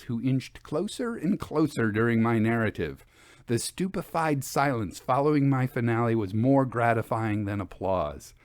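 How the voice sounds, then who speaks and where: A man narrating a scripted first-person story in a measured, expressive, literary manner. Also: mid-range voice, no echo, clean studio sound.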